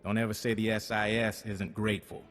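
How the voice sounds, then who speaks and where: An actor playing a friendly, wry intelligence agent in a video game. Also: male voice, lowish voice, flat delivery, a little fast, fairly bright tone, big-room sound, soft background music, close to an everyday voice. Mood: friendly, relieved.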